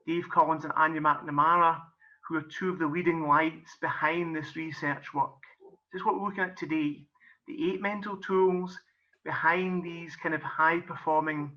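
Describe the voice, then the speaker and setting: A middle-aged man with a Scottish accent, a golf and business coach, giving a friendly explanatory webinar talk with many "kind of"s and "um"s. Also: a little high. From Scotland. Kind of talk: webinar presentation. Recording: muffled lo-fi webinar audio.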